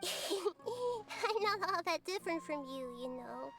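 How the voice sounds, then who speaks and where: A woman voicing a gentle, wise girl goddess in a game, talking softly and kindly, with game music behind. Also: very high-pitched, very animated, takes it slowly, full character voice. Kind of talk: game character dialogue.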